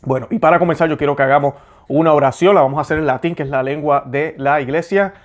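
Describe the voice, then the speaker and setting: A man's voice: on the high side, steady tone, quick pace. Earnest man hosting a faith show. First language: Spanish. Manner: earnest, conversational, with many fillers. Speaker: host. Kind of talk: religious commentary and prayer.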